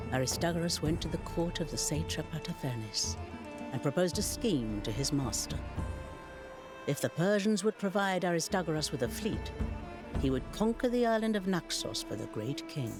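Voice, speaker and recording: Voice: medium pitch, very animated, moderate pace, over music. A narrator delivering scripted dramatic history for a strategy game in a formal storytelling manner.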